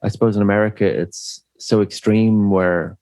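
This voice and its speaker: Middle-aged irish guy, really low voice, thinks out loud with lots of ums, dry close mic. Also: fairly even intonation, no echo. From Ireland. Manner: thoughtful, halting, many fillers and restarts. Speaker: musician guest. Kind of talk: reflective podcast interview.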